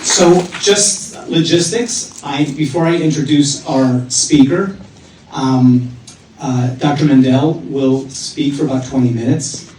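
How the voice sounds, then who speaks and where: A man's voice: medium pitch, slow pace, darkish tone, a little hiss or hum. A man introducing a guest speaker at a theater event, reading a prepared bio with small hesitations. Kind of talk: formal introduction. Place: theater auditorium. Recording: echoey hall from far off.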